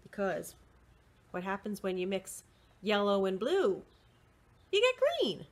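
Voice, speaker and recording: A middle-aged woman reading a picture book aloud to young children, expressive and deliberate, with short asides to the listeners. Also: medium pitch. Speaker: children's library storyteller. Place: indoors, small room with bookshelves.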